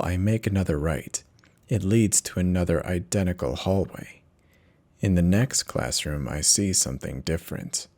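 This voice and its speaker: A man's voice: low-pitched, warm tone. A man giving a theatrical, intense first-person dramatic reading as a horror story narrator. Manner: theatrical, measured, intense delivery.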